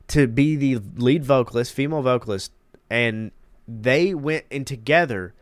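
A man's voice: mid-range voice, no room sound, studio quality. A man hosting a casual gaming commentary, congested and emphatic, repeating words for emphasis. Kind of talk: casual commentary reading articles.

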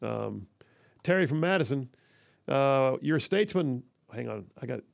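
Gravelly folksy radio host. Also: male voice, medium pitch, animated, normal speed, no echo, studio quality. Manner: folksy and chatty, frequent fillers and restarts. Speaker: radio talk show host. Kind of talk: talk radio, reading listener messages and ad reads. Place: radio studio. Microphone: studio microphone.

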